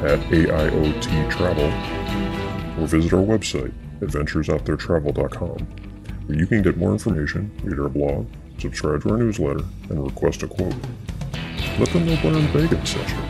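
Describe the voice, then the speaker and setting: A man's voice: very deep voice, vocal fry. A man reading a scripted podcast outro as the announcer. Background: music.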